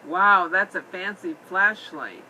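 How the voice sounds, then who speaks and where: A woman's voice: fairly low pitch, lively intonation, takes it slowly, sharp tone, slight background noise, rough recording. A woman chatting simply and encouragingly as a mother in an improvised puppet show, recorded on a tablet at home. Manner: encouraging, asks many simple questions. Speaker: mother playing puppets with her son. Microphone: iPad microphone.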